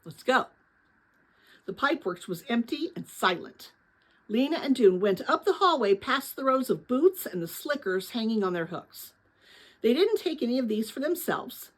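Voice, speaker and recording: A woman narrates a children's novel with theatrical expression. Her voice sits fairly high and moves freely up and down, with a husky, breathy edge to it. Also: rough recording. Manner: expressive, animated storytelling. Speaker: audiobook-style reader of a children's novel. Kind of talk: dramatic scripted story narration.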